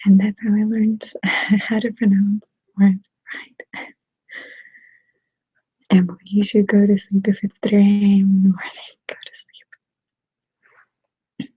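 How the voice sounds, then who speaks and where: Breathy whispering woman with Russian accent. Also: medium pitch, level tone, slow, pauses often, no room sound. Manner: soft whispering, giggly, answers viewer comments. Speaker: ASMR channel host. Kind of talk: whispered ASMR live chat. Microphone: desktop USB microphone. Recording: dull, dry close-mic sound.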